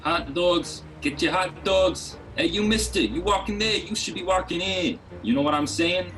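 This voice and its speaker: A man's voice: sits high, moderate pace, a bit bright, poor audio. A man playing a pushy, shifty, wisecracking street hot dog vendor in a comedy radio play. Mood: hawking, wary. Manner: wisecracking, slangy. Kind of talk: radio drama comedy acting. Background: music.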